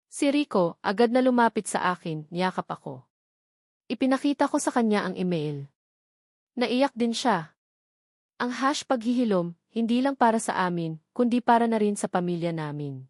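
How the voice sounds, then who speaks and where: Female storyteller, medium pitch, heartfelt even read; dry, close, no room sound. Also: no room sound. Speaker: storyteller narrator. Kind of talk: scripted first-person love story narration.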